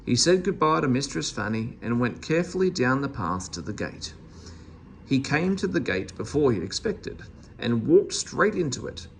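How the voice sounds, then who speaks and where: A man's voice: mid-range voice, big pitch swings, normal speed, creaky, a little hiss or hum. A man reading a children's storybook aloud as a narrator. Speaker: storybook reader.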